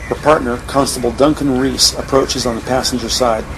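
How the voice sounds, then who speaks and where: A man's voice: mid-range voice, fairly even intonation, brisk. Male narrator for scripted audio drama, medium register, noticeable background noise.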